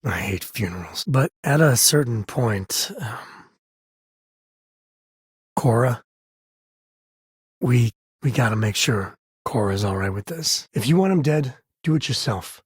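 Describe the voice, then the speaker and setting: A husky, wry spacefaring ranger from a video game, with a mid-pitched male voice. He talks in plain, folksy phrases like a protective, easygoing dad. The sound is close and dry. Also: animated, takes it slowly, pauses often, no room sound, exaggerated voice. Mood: serious, then determined. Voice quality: husky, raspy. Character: laid-back, protective spacefaring frontier ranger and devoted father. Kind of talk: video game character voice lines.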